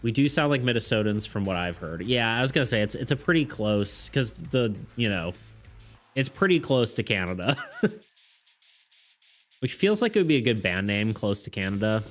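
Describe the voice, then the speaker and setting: A man's voice: lowish voice, big pitch swings, moderate pace, fairly bright tone. A man chatting casually with his viewers on a live stream, rambling, restarting his sentences and laughing often. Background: faint background music.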